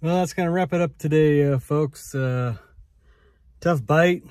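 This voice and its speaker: A man's voice: fairly high pitch, measured pace, a little mellow, slight background noise. A man in his thirties talking casually in a fishing vlog, laid-back and full of filler uhs, first at a riverbank, later in a parked car. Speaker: fishing vlogger.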